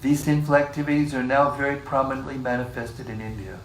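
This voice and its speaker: A man leading a scripture class, reading passages in a measured way and joking with the group between them. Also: medium pitch, fairly even intonation. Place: room with a live group. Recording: echoey room, distant mic, faint hiss.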